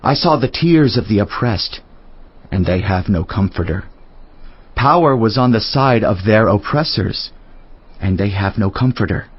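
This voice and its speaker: Male scripture narrator, lowish voice, grave and deliberate phrasing for an audio Bible, slightly dull recorded sound. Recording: slightly dull, band-limited audio with music. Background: clearly audible background music.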